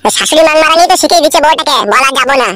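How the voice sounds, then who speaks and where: A woman's voice: high voice, rapid-fire, a bit bright, no echo, faint music behind. A woman voicing an aggrieved, foul-mouthed young cartoon son-in-law who argues and complains sarcastically. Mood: sulky accusing. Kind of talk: crude comedy cartoon dubbing.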